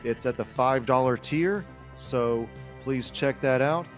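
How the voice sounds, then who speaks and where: A man's voice: mid-range voice, slow pace, soft background music. A man co-hosting a podcast, chatting casually and enthusiastically. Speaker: podcast co-host.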